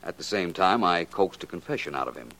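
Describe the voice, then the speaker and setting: An American actor playing a suave, confident gentleman detective in 1940s radio drama, polished and precise. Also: low-pitched, expressive, fairly quick. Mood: pleased, composed.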